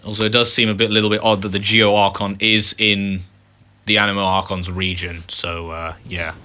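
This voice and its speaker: A man's voice: lowish voice, little pitch movement, normal speed, sharp tone, a little hiss or hum. A man giving casual, self-deprecating commentary as he plays through a video game. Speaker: gamer, channel host. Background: game music.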